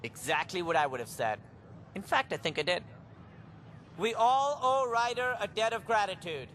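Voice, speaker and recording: Pompous alien official, high, lively, self-congratulating. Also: male voice, normal speed, slight background noise. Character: pompous alien official man making speeches. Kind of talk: video game dialogue.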